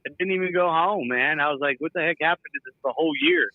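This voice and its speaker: Tinny-sounding man telling animated stories. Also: fairly high pitch, fast talker, dry recording. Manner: animated, quotes people in his stories. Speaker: guest musician. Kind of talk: casual storytelling.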